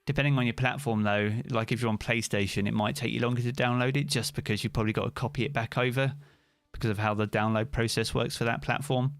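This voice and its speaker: A man's voice: mid-range voice, fairly even intonation, quick pace, no echo. A British man streaming live, chatting casually and answering viewers' comments by name.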